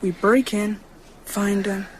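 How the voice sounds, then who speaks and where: A woman's voice: mid-range voice, steady tone, slow, a little hiss or hum, natural voice, rough recording. A woman playing a bold, earnest young American boy who hunts vampires in a radio drama. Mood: determined.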